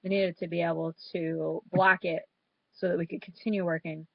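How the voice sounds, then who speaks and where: A woman's voice: on the low side, flat delivery, normal speed, gravelly, no echo, highs cut off. A young woman with a head cold and a stuffy nose, informally presenting a student project report with some hesitant fillers.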